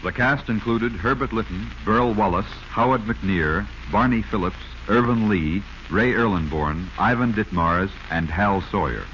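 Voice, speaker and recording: Low-voiced 1940s radio announcer, a man. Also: unhurried, hardly any pauses, lo-fi sound. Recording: noisy, band-limited 1940s radio recording.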